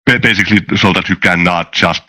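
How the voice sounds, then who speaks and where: Deep, bright-voiced man explaining haltingly. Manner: halting, searching for words. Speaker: game creator on a panel. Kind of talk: casual explanatory discussion.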